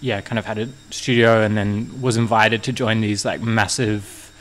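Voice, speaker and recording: A man's voice: lowish voice, steady tone, normal speed, fairly bright tone, low-quality recording. A young man from New Zealand, a musician guest talking casually and rambling, with many fillers and restarts. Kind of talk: casual interview chat.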